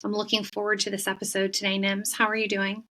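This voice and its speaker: A woman co-hosting a spiritual podcast, introducing herself in a steady, welcoming way. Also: mid-range voice, fast talker. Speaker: podcast co-host and intuitive reader.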